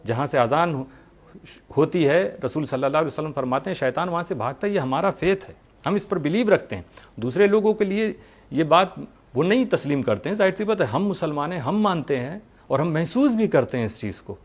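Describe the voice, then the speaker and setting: A man giving a religious sermon, speaking earnestly to his followers with rhetorical questions and deliberate pauses. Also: a little high, animated.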